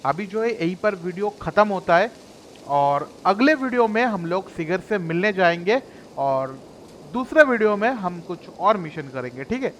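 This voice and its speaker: Indian accent guy doing casual game walkthrough, super fast talker, slight background hiss. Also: sits high, animated. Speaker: gaming video host.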